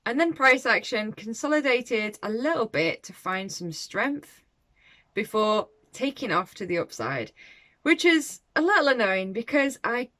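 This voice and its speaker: A woman's voice: on the high side, very animated, measured pace, a bit bright. A woman giving a casual, reflective trading recap to her viewers, chatting with small laughs. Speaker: forex trading vlogger. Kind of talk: casual trade recap to camera.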